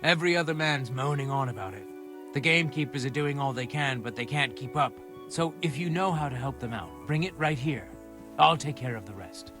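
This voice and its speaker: A man acting a good-humoured, gruff medieval camp quartermaster in a video game, chummy and colloquial. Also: medium pitch, lively intonation, brisk, over music, close to an everyday voice. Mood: wry, matter-of-fact.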